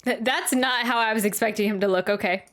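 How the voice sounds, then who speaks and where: Female game streamer, higher-pitched, casual reaction chat, loose and halting phrasing. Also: fairly bright tone. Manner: informal, rambling, halting.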